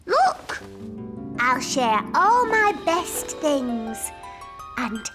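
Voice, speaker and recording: A woman's voice: high voice, big pitch swings, drawn-out words, music in the background, exaggerated voice. A woman voicing an eager, bossy little princess of about four in a children's cartoon, with a blunt, emphatic childlike manner. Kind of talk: animated children's cartoon acting.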